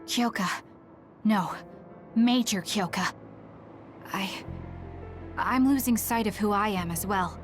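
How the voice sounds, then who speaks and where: A woman's voice: medium pitch, slow pace, pauses often, soft background music, lightly acted. A woman voicing an earnest, loyal young female soldier in a video game, sincere and serious. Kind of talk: English video game voice acting.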